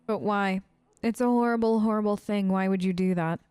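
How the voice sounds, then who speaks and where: A woman's voice: mid-range voice, fairly even intonation, fairly quick, darkish tone, clear, resonant tone, soft background music. A woman hosting a gaming video, role-playing her side of the dialogue in a chatty, wry, informal way. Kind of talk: let's play role-play, casual in-character dialogue.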